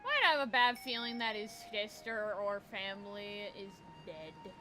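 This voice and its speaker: A woman's voice: mid-range voice, normal speed, sharp tone. A woman doing casual gameplay commentary in a slangy, exclamatory way. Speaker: gaming video host. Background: game music.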